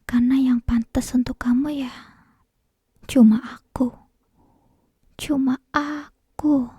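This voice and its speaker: Woman voicing a clingy, menacing yandere girl, hushed breathy whisper, soft mellow tone, lingering delivery, dry intimate ASMR roleplay. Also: high-pitched, steady tone, takes it slowly, no room sound, full character voice. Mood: possessive devotion. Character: obsessive possessive young yandere kidnapper woman, menacing and clingy. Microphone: close binaural ear microphone.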